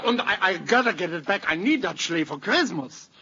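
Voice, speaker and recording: An actor playing a meek, dithering shopkeeper in an old radio comedy, stammering and pleading nervously. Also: male voice, high-pitched, expressive, normal speed. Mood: anxious, insistent. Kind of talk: 1950s American radio comedy acting. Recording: dull, very noisy old radio recording.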